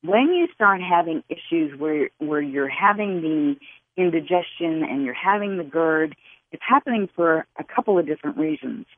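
A woman guest expert giving health advice to callers on a call-in radio show, explaining in a steady, instructive way. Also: deep voice, normal speed. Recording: phone-line sound.

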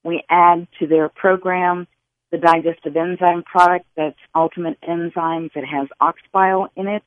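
A woman guest expert giving health advice to callers on a call-in radio show, explaining in a steady, instructive way. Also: on the low side, moderate pace, no echo. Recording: phone-line sound.

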